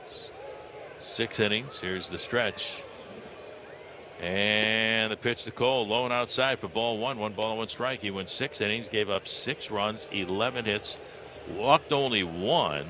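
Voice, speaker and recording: An American man calling a live baseball game on the radio, in clipped descriptive phrases with some drawn-out calls. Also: lowish voice. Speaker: baseball radio play-by-play announcer. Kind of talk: live play-by-play commentary. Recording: radio broadcast with clear background noise.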